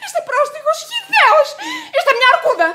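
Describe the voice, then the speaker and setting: An actress playing a proud, fiery young widow in a radio comedy, haughty and theatrically indignant. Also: female voice, very high-pitched, sing-song, very noisy. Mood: outraged.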